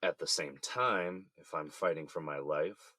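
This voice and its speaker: An actor with an American accent playing a regretful, resigned middle-aged husband in jail, subdued and conceding, in an audio drama. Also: male voice, a bass voice, measured pace, bright, forceful tone, no echo, full character voice. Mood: grateful, apologetic.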